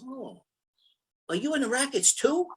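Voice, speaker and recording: A dim-witted gangster gunman from an old-time radio thriller, acted out by a woman, speaking in a high, swooping voice. The delivery is slow and stammering, that of an insecure, gullible crook who keeps hesitating. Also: sing-song, breathy edge, exaggerated voice. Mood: awed, smitten. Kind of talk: 1940s radio comedy-thriller acting.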